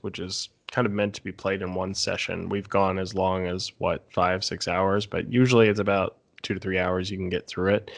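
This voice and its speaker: A man's voice: low voice, gravelly. A man talking casually as a panelist on a podcast.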